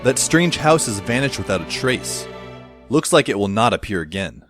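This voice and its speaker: A man's voice: fairly low pitch, big pitch swings, moderate pace, music in the background. A man playing a bewildered, wry teenage schoolboy who narrates an audio drama in a wordy, dramatic way. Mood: dazed, wry. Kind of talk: scripted first-person audio drama narration.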